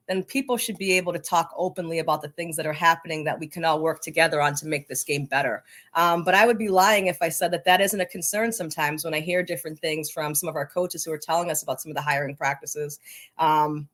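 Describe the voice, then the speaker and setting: Lady guest on an interview, kinda low voice, earnest, talks things through conversationally. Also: fairly bright tone. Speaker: interview guest from a coaches association.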